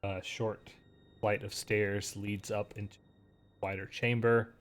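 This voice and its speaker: A man running a tabletop game as game master, describing scenes in a relaxed way with many pauses. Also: fairly low pitch.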